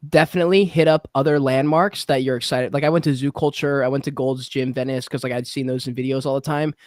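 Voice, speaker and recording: A man's voice: mid-range voice, fairly even intonation, fast talker, no echo, clean studio sound. A young man hosting a casual interview podcast, laid-back and swearing casually. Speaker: podcast host.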